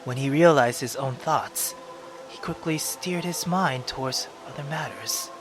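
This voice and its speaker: A man reading a visual novel aloud in a slow, steady storytelling manner, now and then correcting himself. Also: mid-range voice, noisy background. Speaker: gaming YouTuber. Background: game music.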